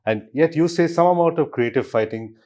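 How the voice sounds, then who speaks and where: A man with an Indian accent hosting a podcast, reading a scripted introduction and asking measured, articulate questions. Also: on the high side, darkish tone. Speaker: podcast host.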